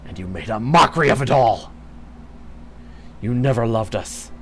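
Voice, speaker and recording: A man's voice: medium pitch, expressive, slow pace, vocal fry, husky, heavily acted. A man voicing a loyal male fairy beast clan chief who pleads with his queen and later roars in fury. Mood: furious. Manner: pleading, then roaring with rage.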